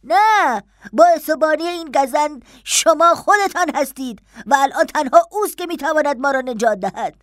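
A woman's voice: high voice, no echo, studio quality, pitched above the performer's own voice, natural voice. A woman voicing a distraught, fiercely loyal servant woman in an audiobook, urgent, insistent and pleading. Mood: angry, distressed. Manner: impatient, urgent, voice climbing louder. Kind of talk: dramatized audiobook dialogue.

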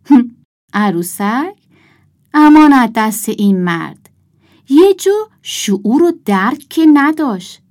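A woman's voice: fairly high pitch, lively intonation, a little mellow, lightly acted. A woman voicing a scornful, exasperated tailor in a children's storybook, grumbling with disdain. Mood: disgusted.

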